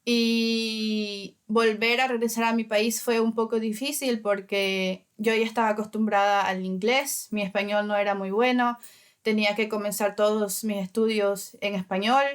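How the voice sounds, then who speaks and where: Smooth-voiced Ecuadorian woman, hesitant. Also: mid-range voice, level tone, unhurried. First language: Spanish. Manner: hesitant, many fillers and restarts. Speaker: interview guest. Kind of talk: casual interview.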